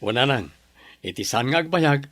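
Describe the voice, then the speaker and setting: A man's voice: medium pitch, animated, normal speed. A man playing a young husband in a comedy radio drama, speaking earnestly. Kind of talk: Ilocano radio drama acting. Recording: loud music bed behind.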